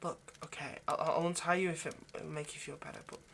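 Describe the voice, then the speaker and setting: A man playing an anxious, lovesick vampire kidnapper in an intimate audio roleplay, speaking in a halting, pleading way. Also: a little high, fairly even intonation, vocal fry, fairly bright tone, a little hiss or hum. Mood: nervous, gently pleading. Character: anxious, lovesick male vampire kidnapper, centuries old but youthful.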